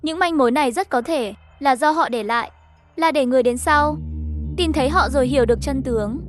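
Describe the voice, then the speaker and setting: A woman's voice: high-pitched, fairly quick, over music. A woman reading a scripted story, voicing the narration and all the dialogue herself. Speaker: narrator of an animated horror story recap.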